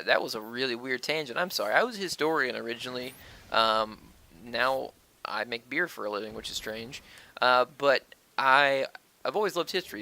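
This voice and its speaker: A man's voice: mid-range voice, sharp tone. A man giving casual video game commentary as host, rambling off on tangents and swearing crudely, recorded on an old, flawed stand-by mic. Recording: wonky, flawed stand-by microphone audio.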